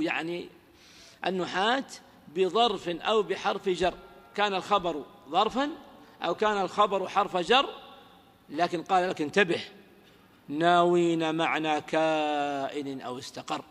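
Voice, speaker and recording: High-voiced man teaching in a reverberant hall. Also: a bit bright. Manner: quizzes listeners with rhetorical questions; recites verse lines slowly. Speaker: grammar teacher. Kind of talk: lecture explaining a classical grammar poem. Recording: lecture audio with long hall reverb.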